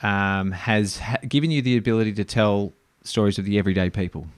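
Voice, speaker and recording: A man hosting a podcast interview, talking warmly with frequent ums and restarts. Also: fairly low pitch, fairly even intonation, brisk, no echo. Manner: warm, hesitant with many ums and restarts. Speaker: podcast host.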